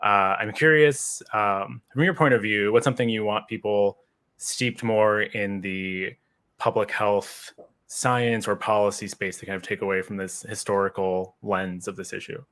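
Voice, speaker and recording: Male podcast interviewer, lowish pitch, conversational delivery. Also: steady tone, unhurried, a bit bright.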